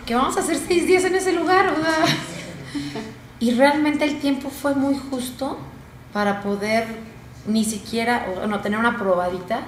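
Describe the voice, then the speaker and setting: A woman's voice: high voice, normal speed. A woman in her thirties from Mexico with a Mexican accent, telling a travel story animatedly as a talk show guest. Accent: Mexican Spanish. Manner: animated, storytelling, laughs often. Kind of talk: studio talk show chat. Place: small TV studio, round table. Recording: roomy studio with faint noise.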